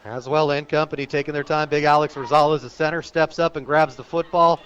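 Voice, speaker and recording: A man working as a radio sportscaster, calling high school football live in clipped, descriptive phrases that turn excited on big plays. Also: brisk, highs cut off. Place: outdoor stadium, live radio broadcast.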